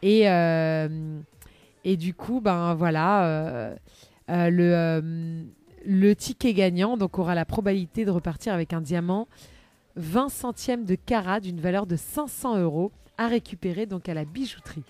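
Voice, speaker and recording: A woman presenting a chatty live radio magazine segment in a bubbly, playful and expressive manner. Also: lowish voice, measured pace, no room sound.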